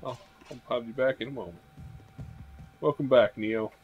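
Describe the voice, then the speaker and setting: A man with an average-pitched voice co-hosts a gaming session in casual chat. He teases and coaches his partner as they play, with faint game sounds behind him. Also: moderate pace, dull audio, background noise. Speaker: let's play co-host.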